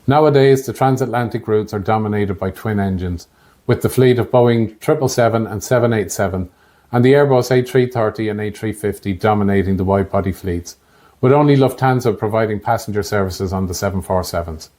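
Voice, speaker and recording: Irish male narrator, late fifties, low-pitched, measured delivery for an informative podcast. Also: dark tone. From Ireland. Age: late 50s. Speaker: podcast host, air traffic controller. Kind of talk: scripted narration.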